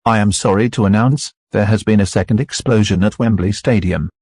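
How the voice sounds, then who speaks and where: A man reading formal, scripted broadcast announcements in a steady tone. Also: lowish voice, a little fast, husky, no room sound, clean studio sound.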